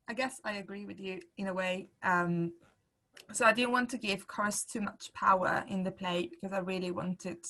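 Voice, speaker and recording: A woman playwright explaining her work casually, in a halting, apologetic manner. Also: medium pitch, a bit bright. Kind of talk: casual explaining at an online discussion.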